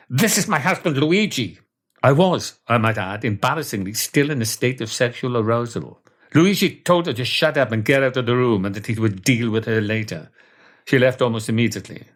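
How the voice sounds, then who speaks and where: A Welsh man telling a comic first-person story in a deadpan, wordy way. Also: medium pitch.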